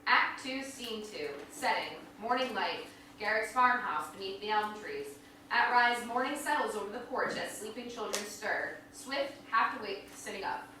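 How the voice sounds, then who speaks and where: Woman, high bright voice, dramatic stage-direction reading, distant mic, very echoey hall. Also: animated, moderate pace. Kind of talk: dramatic scripted narration. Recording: echoey hall from far off, faint noise.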